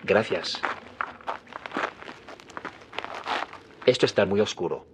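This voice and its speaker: A man's voice: fairly low pitch, lively intonation, a little fast, lightly acted. An actor playing a weary, questioning male scientist back from a long absence, earnest and disbelieving, in an audio drama. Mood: weary, troubled. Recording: reverberant cave with noisy ambience.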